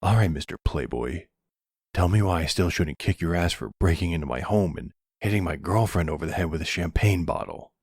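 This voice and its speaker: Guy doing a tough older mafia boss, deep n gravelly, streetwise threats, asmr roleplay. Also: animated, a little fast, darkish tone, dry recording, clean studio sound, exaggerated voice. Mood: angry, threatening. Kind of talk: ASMR roleplay audio drama.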